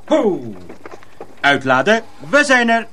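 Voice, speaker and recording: High, bouncy cheerful mill hand. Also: male voice, sing-song, slow pace, bright, forceful tone, a little hiss or hum, full character voice. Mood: hearty, bustling. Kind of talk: radio play acting.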